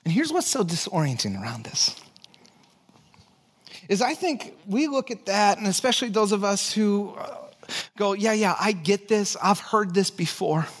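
High-voiced Texan pastor preaching. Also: male voice, normal speed. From Texas. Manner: conversational and animated, swinging between hushed low lines and lively high asides. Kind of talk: sermon. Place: church sanctuary.

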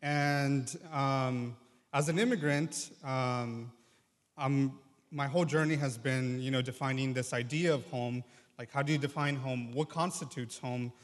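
Man, twenties, native Triqui speaker, earnest, halting, mid-pitched, personal testimony, long hall reverb. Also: fairly even intonation. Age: 20s. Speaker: guest speaker, medical student. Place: large church room, live address.

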